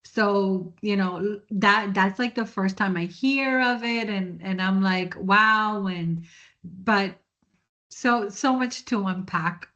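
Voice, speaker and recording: A woman hosting a podcast interview, emotional and halting, repeating words and slipping into Spanish phrases. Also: medium pitch, bright, forceful tone, muffled recording. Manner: emotional, restarts often, mixes Spanish phrases. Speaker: podcast co-host. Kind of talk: casual interview chat.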